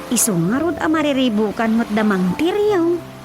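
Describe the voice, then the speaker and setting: A woman's voice: high-pitched, big pitch swings, normal speed, music in the background. A woman playing a young, inquisitive village daughter in a radio drama, with a Filipino Ilocano accent. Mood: concerned, explaining. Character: curious, giggly young village daughter questioning her mother.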